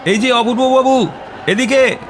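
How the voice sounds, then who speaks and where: An actor playing a middle-aged, deferential and discreet estate manager, polite and obliging, in a radio drama. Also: male voice, very high-pitched, a little slow, slight background noise. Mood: welcoming. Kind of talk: radio audio drama acting.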